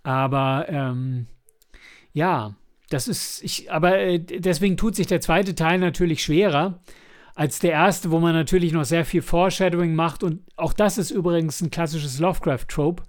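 A man's voice: on the high side, normal speed. A man co-hosting a podcast, discussing and reviewing in a casual, rambling way as he searches for words. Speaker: podcast co-host and audio drama maker.

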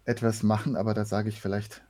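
A man's voice: lowish voice, level tone, fast, mellow. A man, talking casually as a voice actor being interviewed. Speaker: guest, voice actor.

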